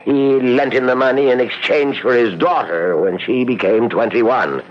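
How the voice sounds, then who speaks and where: A man's voice: medium pitch, normal speed, a little hiss or hum, natural voice. A man playing an older, plainspoken Irish country uncle in an old-time radio drama, with an Irish accent. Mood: grave, explaining. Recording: muffled old radio recording.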